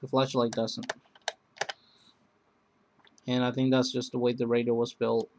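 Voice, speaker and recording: A man hosting a casual, unscripted product review, speaking in a halting, rambling way that often circles back on itself. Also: mid-range voice, monotone, background noise. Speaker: video host reviewing gear.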